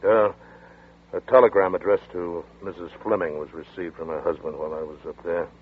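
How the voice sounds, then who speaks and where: Blunt, weary detective on an old 1940s radio play, very deep and husky, tinny sound. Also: male voice, level tone, a little fast. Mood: gruff. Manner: blunt, gruff, matter-of-fact. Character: tough, weary detective friend.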